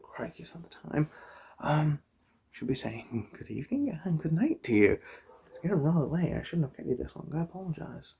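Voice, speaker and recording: ASMR optician roleplay: hushed, very gravelly murmur sliding into whisper, hesitant and reassuring, lilting pitch. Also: expressive, brisk. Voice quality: soft, breathy, drifting into whisper. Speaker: ASMR performer playing an optician giving an eye exam.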